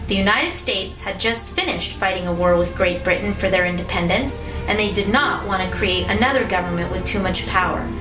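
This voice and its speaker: A woman reading scripted educational narration as the narrator of a history show. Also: medium pitch. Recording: roomy echo, music behind. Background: music.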